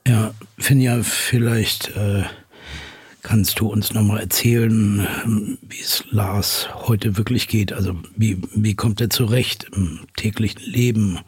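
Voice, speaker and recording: A probing podcast interviewer, audio drama, really rough husky voice, close dry sound, sceptical. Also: male voice, lowish voice, fairly even intonation, slow pace, no echo, exaggerated voice. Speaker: podcast host.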